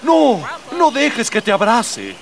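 A man's voice: very high voice, wildly swooping pitch, slow, distant mic, background noise, heavily acted. A man playing an idealistic, exasperated rebel prince in a radio drama, speaking earnestly and emphatically. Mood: warning.

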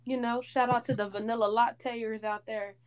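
A woman's voice: on the high side, level tone, moderate pace. A woman in her twenties from Tennessee, co-hosting a casual fan podcast, teasing and giggly. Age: 20s. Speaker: podcast co-host. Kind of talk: casual chat.

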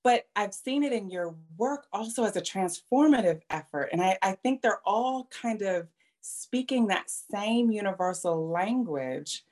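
A woman's voice: mid-range voice, expressive, unhurried, a little mellow. A woman co-hosting a podcast interview, reflective and thoughtful as she builds long points. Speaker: podcast co-host. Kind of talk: interview conversation.

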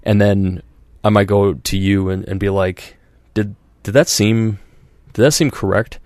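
A man with a fairly low voice talks through a casual podcast conversation, rambling and restarting, filling with "like" and "you know", slipping into quoted voices. The sound is dry and close, with no room around it. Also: steady tone, measured pace, a little mellow, no room sound. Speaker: podcast host. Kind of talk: casual chat.

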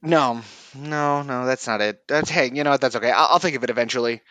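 A man's voice: medium pitch, quick pace, pushed and bright, dry recording, natural voice. A man playing a clueless, chatty male office coworker in a comedy sketch, rambling and tactless. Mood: eager.

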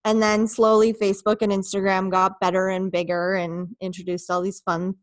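A woman's voice: mid-range voice, moderate pace. A woman in her late twenties from Canada, chatting casually as a podcast guest with lots of "like". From Ottawa, Canada. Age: 20s. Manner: relaxed, laughing, lots of "like". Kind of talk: casual interview chat.

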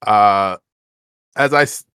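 A man's voice: lowish voice, takes it slowly, bright, forceful tone, clear, resonant tone, no echo. A man co-hosting a podcast in casual chat, informal and a little rambling in his delivery. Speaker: podcast co-host.

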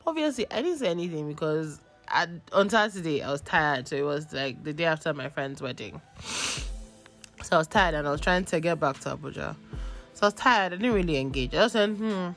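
A young Nigerian woman with a cold, losing her voice, hosting a podcast and telling a story in a chatty, animated way. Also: sits low, a little fast, soft background music. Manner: chatty, animated, acts out texts in quotes. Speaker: podcast host. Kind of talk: casual storytelling.

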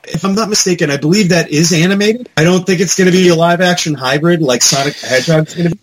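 A man co-hosting a podcast in casual chat about pop culture, talking in an animated, rambling, mildly profane fan style. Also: a little high, fast, slightly rough. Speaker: podcast co-host.

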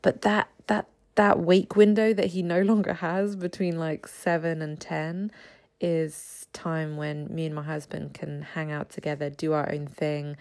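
A woman in her thirties hosting a casual solo podcast, a little raspy from just waking up, chatty with frequent ums and small laughs. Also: deep voice, a little mellow. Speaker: podcast host.

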